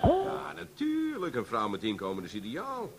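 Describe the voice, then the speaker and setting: Brash, womanizing Amsterdam schemer: slightly high voice, very animated, cocky and coarse, radio play. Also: moderate pace, gravelly, over loud music, exaggerated voice. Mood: sly, cocky. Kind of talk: comic radio play acting.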